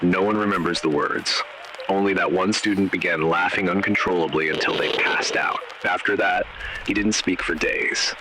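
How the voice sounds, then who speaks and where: A man with a very low voice narrates a horror story. He is measured and grave, letting short, ominous phrases fall one by one. Noticeable static runs through the recording. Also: fairly even intonation. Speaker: narrator of an analog horror video. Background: static noise.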